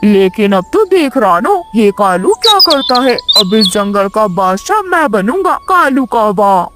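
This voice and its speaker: A woman's voice: mid-range voice, big pitch swings, unhurried, loud music behind the voice. A woman voicing a boastful crow in a theatrical, emphatic cartoon moral-story style. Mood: gloating, greedy. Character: boastful greedy crow, later humbled and remorseful.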